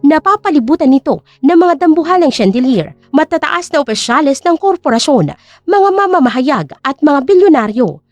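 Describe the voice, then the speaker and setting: A woman narrating an audio drama with a steady, storytelling delivery. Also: high voice, moderate pace, dry recording, faint music behind.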